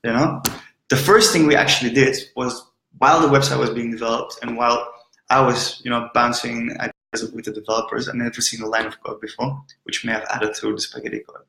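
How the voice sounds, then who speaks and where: A man with a Belgian accent tells founder stories in a loose, chatty way, leaning on "look" and "right?" as he goes. His voice is bright and forceful, with a middling pitch. The room around him rings with echo. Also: fairly even intonation, fairly quick, creaky. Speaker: startup founder and CEO. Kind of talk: casual interview storytelling.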